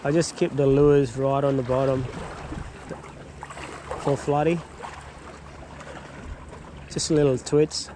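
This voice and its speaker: A man giving casual running commentary while fishing on a rocky breakwall, recorded on a head-mounted action camera, breaking into excited whoops when a fish bites. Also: medium pitch, slow pace, long pauses. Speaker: fishing vlogger. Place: outdoors on a rocky breakwall by the sea. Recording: outdoor wind and water noise.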